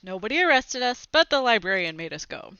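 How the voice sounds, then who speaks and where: A young woman reading a children's story aloud into a headset mic in an expressive, clearly phrased manner, with little asides. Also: medium pitch, fairly quick, no echo, highs cut off. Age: young adult. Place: small home room with bookshelves, indoors. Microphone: headset microphone.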